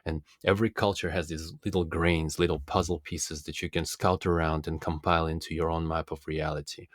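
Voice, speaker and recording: A man whose first language is Russian speaks in a very low voice with little melodic movement. He delivers a philosophical self-help monologue, earnest and deliberate. The sound is dry and close, free of room reflections. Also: level tone, dry recording. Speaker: self-development coach and speaker.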